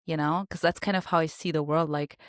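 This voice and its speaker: A woman's voice: low voice, fairly even intonation, brisk, no echo, studio quality. A woman from Germany hosting a podcast, chatting casually and filling her talk with "like" and "you know". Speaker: podcast host. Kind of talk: casual interview conversation.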